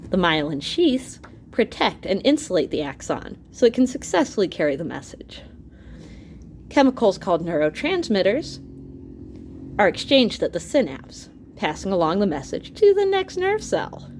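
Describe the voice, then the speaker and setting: A woman reading an educational science book aloud as a teacher, expressive and explanatory, pausing to clarify terms. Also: medium pitch, a little hiss or hum.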